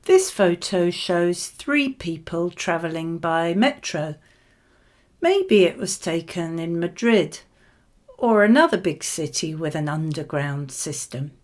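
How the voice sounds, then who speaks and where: A woman reading scripted model answers for a spoken exam, with measured, clearly phrased delivery. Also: fairly low pitch, lively intonation.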